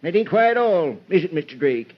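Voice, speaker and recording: Crotchety elderly backwoods lawman, high voice, feisty and folksy, old radio drama, muffled recording. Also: sing-song, a little fast. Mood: smug, insistent. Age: older. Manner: folksy, combative, rustic slangy speech. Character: cantankerous, crafty old small-town country constable and doctor. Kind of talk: old-time radio mystery acting. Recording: dull vintage radio recording.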